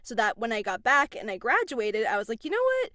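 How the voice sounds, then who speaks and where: A woman, a voice actress answering interview questions in an animated, chatty way. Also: a little high, fast talker, dry recording, studio quality. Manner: chatty, animated, laughs between phrases. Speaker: voice actress guest.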